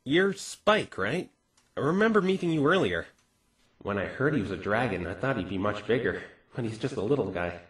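A man playing an earnest, flustered young defence lawyer in a visual novel fan dub, expressive and incredulous. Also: medium pitch, normal speed, natural voice. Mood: casual, curious.

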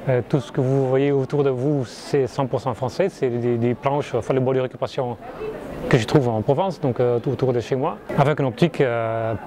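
A man's voice: medium pitch, fairly even intonation, brisk. Earnest man artist over crowd noise. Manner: earnest, repeating words as he thinks. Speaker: artist working with reclaimed wood. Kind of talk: interview at an art fair.

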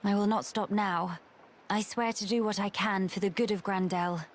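An actress playing an earnest young noblewoman in a video game, speaking in formal, measured diction. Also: medium pitch, steady tone, lightly acted.

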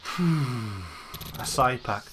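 A man's voice: medium pitch, lively intonation, extremely slow, background noise. A man giving casual, sweary gameplay commentary as he makes a video, grumbling and sarcastic. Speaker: gamer making a video.

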